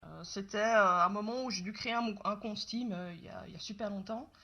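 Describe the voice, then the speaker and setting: A woman in her late twenties with a light Belgian French accent talks over a video call. Her voice is bright and clear, and her pitch sits in a middle range. She answers in a relaxed, hesitant way with frequent pauses. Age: late 20s. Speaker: interview guest, YouTube game master. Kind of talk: casual interview chat.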